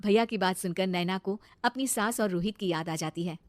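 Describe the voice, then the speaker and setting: A woman's voice: medium pitch, normal speed, dry recording. A woman narrating a scripted cartoon moral story as its storyteller.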